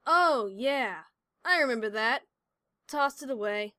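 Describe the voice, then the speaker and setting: A woman plays a brash young pony heroine from an audio drama. Her voice is high and sharp, recorded dry, and she sounds sarcastic, teasing and impatient. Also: animated, a little slow, dry recording. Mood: smug. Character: brash, sardonic young female pony adventurer. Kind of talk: audio drama character acting.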